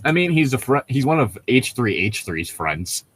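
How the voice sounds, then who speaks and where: A man co-hosting a casual chat, rambling through stories in an excitable, profane and laughter-prone way. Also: medium pitch, moderate pace, slight background noise.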